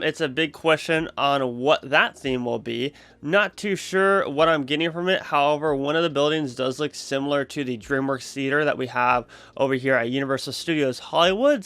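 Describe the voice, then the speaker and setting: A man's voice: fairly high pitch, fairly bright tone, clean tone, no room sound. A man presenting theme park news as a vlog host, chatty and enthusiastic with his viewers. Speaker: YouTube channel host.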